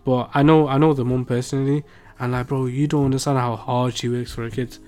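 A man's voice: medium pitch, fairly even intonation, a little fast, a little mellow. A young British man chatting casually as a podcast co-host, with a slangy, emphatic way of talking.

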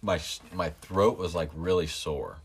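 A young man casually telling a story as a podcast guest, relaxed and rambling with lots of filler. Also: sits low, unhurried, husky.

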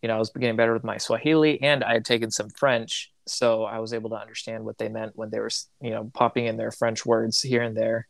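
A man's voice: lowish voice, little pitch movement, quick pace, vocal fry, hardly any pauses, no echo. A man who grew up in Tanzania, a missionary, telling a story in casual conversation and often restarting his sentences. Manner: rambling, self-correcting, reflective.

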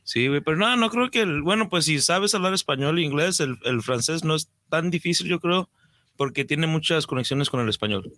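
Podcast co-host, male, crisp bright tone, recorded dry, relaxed conversational phrasing. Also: fairly high pitch, brisk, no room sound. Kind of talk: casual chat.

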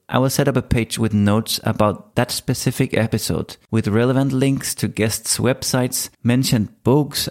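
A man in his forties with a Danish accent, hosting his own podcast and telling his life story in a calm, measured monologue. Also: medium pitch, vocal fry. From Denmark. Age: 40s. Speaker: podcast host. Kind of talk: scripted solo podcast monologue.

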